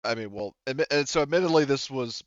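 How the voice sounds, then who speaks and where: Clear-voiced man in dull, dry audio. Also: mid-range voice, fast, no room sound. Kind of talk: casual out-of-character tabletop game talk.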